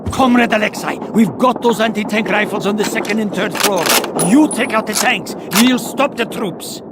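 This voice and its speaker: A man playing a fierce Soviet squad commander in a war game, shouting urgent orders. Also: high voice, normal speed, very noisy, natural voice. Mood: firm, briefing. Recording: loud battlefield gunfire, distant voice. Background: artillery fire, gunshots, vehicles.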